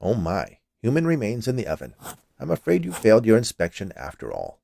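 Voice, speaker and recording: A man's voice: lowish voice, wildly swooping pitch, fairly quick, gravelly, dark tone, exaggerated voice. A man voicing a polite, wily little inspector in a storybook tale. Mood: coolly smug.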